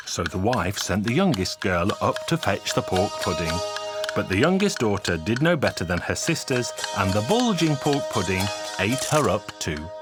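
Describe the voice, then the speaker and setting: A man with a fairly low voice narrates a folk tale from a script. His delivery is measured and expressive, with animated rises and falls in pitch, like storybook telling for a cartoon. Music plays prominently behind him. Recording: music under the voice.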